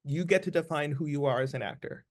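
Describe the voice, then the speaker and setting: Earnest man with a slightly high voice. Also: fast talker. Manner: earnest, emphatic, repeats phrases for stress. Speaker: interview guest, actor. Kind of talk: conversational interview advice.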